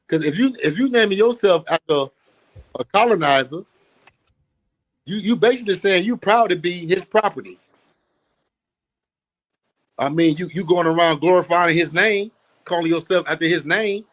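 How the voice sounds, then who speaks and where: An emphatic man lecturing with a slightly high-pitched voice. He talks in a preachy way, repeats his phrases for weight and laughs often between points. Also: normal speed, pauses often, poor audio. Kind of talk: street-teaching talk.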